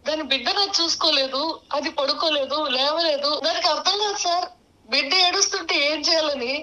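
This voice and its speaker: Bright, high-voiced young woman, pleading. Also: a little fast, rough recording. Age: young adult. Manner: pleading, deferential. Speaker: caller seeking advice. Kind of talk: earnest personal account.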